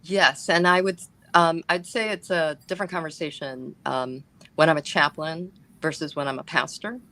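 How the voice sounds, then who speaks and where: A woman's voice: low voice, fairly even intonation, moderate pace, bright, forceful tone, no echo, low-quality recording. A woman chaplain and pastor answering interview questions over a video call, thoughtful, with frequent fillers. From born in South Korea, raised in Chicago. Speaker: guest, hospice chaplain and pastor.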